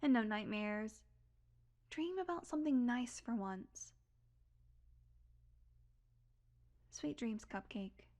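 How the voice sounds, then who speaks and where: Woman playing a brash, confiding vampire, higher voice. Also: expressive, measured pace, a little mellow. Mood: soft, tender. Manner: casual, confiding, wry, swearing, drifting into whispers. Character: brash, sardonic, secretly tender female vampire, long-lived. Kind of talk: intimate audio roleplay monologue.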